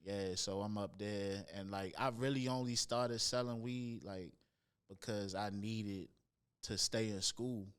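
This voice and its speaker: A young man with a nasal voice, a rapper guest in a casual interview, telling stories in relaxed slang on a studio mic. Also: on the low side, unhurried, clear, resonant tone. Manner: laid-back slang-heavy storyteller. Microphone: studio podcast mic near the nose.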